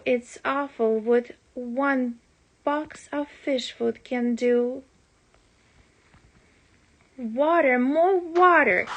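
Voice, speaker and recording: A woman reading a rhyming children's storybook aloud as a parent would, in an expressive, deliberate storytelling manner. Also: sits high.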